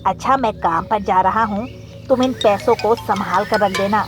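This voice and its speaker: A man's voice: high voice, moderate pace, a bit bright, faint music behind. A man playing a kind, humble, hardworking pigeon in a cartoon story, speaking politely and earnestly.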